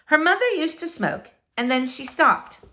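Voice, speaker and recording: Lively higher-pitched woman reading a storybook. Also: moderate pace. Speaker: reader of a children's novel. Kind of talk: expressive storybook read-aloud narration.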